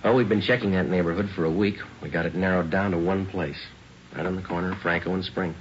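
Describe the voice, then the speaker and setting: A male actor as a no-nonsense police detective in an old radio drama, speaking low and very quickly. His delivery is clipped, firm and deadpan. The recording is dull, with faint music. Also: a little hiss or hum, lightly acted. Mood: matter-of-fact. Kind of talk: 1940s radio acting, clipped narration.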